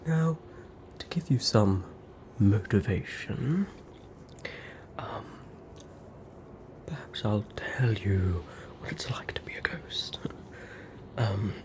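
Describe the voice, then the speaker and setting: A fussy, polite female ghost with an Australian accent haunts a hospital bed, played by a woman in an ASMR roleplay. She speaks in a breathy whisper at a very unhurried pace. Her wording is quaint and hesitant, full of small apologies. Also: extremely low pitch, expressive, exaggerated voice. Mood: bargaining, wry. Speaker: ASMR performer.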